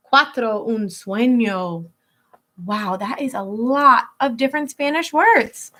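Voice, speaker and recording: A woman schoolteacher reading a picture book aloud to children, expressive and instructive, drawing out key words and pausing to explain. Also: high voice.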